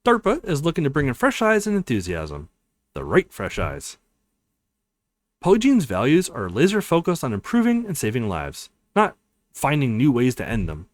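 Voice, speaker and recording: A man voicing a friendly, relaxed male government tech lead in a visual novel, easygoing and confident. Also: medium pitch, normal speed, no room sound. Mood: earnest pitch.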